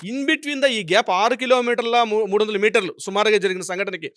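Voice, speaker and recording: A man's voice: high-pitched, fairly quick, fairly bright tone, no echo, studio quality. A man with a Telugu accent giving explanatory legal commentary as an advocate, emphatic, repeating himself and posing rhetorical questions.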